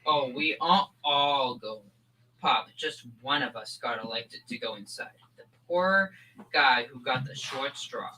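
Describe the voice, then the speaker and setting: A man's voice: medium pitch, animated, measured pace, pushed and bright, rough recording. A young male performer playing an eager, needling son with slangy boyish talk in a school old-time radio comedy. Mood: sheepish. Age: young teen. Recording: faint background noise.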